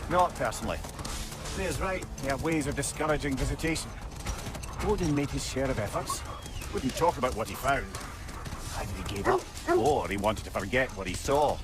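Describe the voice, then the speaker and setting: Lively old sage played by a man. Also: medium pitch, moderate pace, lightly acted. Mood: thoughtful. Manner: wry, chatty, colourful phrasing. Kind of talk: video game voice acting. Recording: game music behind voice.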